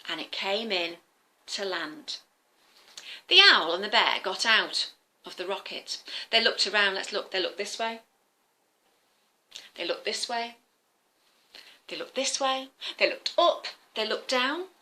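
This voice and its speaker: A woman telling a story to young children in an animated, interactive way, often turning to the listeners with questions and simple explanations. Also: mid-range voice, unhurried, pauses often. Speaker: children's storyteller, former teacher.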